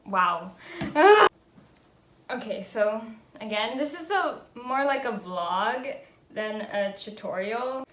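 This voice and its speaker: A woman's voice: mid-range voice, expressive, slow pace, a bit bright, echoey room. A young woman from the Philippines, a native speaker of Tagalog and English, chatting casually and thinking aloud as she vlogs at home, with frequent little laughs. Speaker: beauty vlogger. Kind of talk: casual vlog narration.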